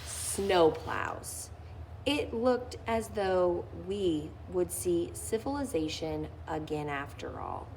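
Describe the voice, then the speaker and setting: A woman's voice: fairly low pitch, animated, slow, darkish tone, slight background noise, low-quality recording. A woman reading a children's picture book aloud, lifting her voice expressively on key phrases. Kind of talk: read-aloud storytelling.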